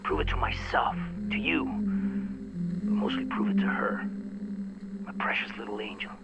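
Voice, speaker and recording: Gravelly, desperate army major. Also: male voice, sits high, very animated, measured pace, in a whisper, heavily acted, poor audio. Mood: tender, sorrowful. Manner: hushed, heavy, confessional. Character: desperate, resolute army major, a devoted father. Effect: recorded voice message. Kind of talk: video game cutscene acting.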